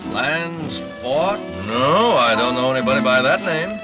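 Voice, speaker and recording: An actor plays a brusque, unhelpful man working at a nightspot in an old-time radio drama. His pitch moves a lot. The vintage recording is dull, with music clearly behind. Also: expressive, unhurried, lightly acted. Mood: puzzled, dismissive.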